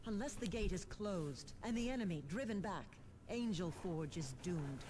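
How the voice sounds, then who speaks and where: A woman playing a composed, determined government administrator in a sci-fi video game, formal and crisp with dry irony. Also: fairly low pitch, expressive, moderate pace, dark tone, slight background noise, close to an everyday voice. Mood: grave, urgent. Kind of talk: scripted video game acting.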